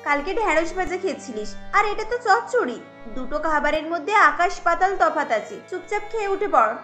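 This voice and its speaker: A very high, sharp voice of an irritable cartoon housewife played by a woman. She complains and scolds like a harried mother defending herself over household troubles. Music plays faintly behind her. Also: sing-song, brisk, exaggerated voice. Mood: scolding. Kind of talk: cartoon voice acting.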